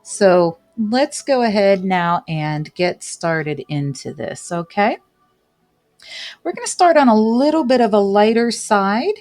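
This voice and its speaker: A woman's voice: medium pitch, animated, takes it slowly, darkish tone, faint music behind. A woman hosting a casual commentary show, reading news aloud and adding chatty tag questions, with light laughs between remarks. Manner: chatty, adds tag questions like "right?" and "okay?".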